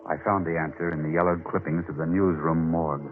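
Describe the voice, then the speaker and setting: An actor playing a haunted, obsessive newspaper reporter in an old-time radio mystery, brooding, halting and intense in his delivery. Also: a bass voice, steady tone. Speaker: narrator and lead character. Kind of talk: old-time radio mystery acting, dramatic first-person narration. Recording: muffled vintage radio recording with music.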